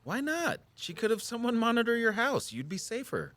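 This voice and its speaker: An actor playing a concerned, patient outdoorsman in a naturalistic audio drama, measured and gently frank. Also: very high voice, sing-song, dry recording, exaggerated voice. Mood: worried, frank. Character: Jordan, concerned, patient outdoorsman who watches the woods.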